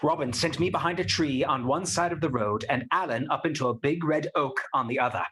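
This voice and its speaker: An actor playing a young outlaw in a radio play, telling the tale in an even way. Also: male voice, on the high side, fairly quick, lightly acted.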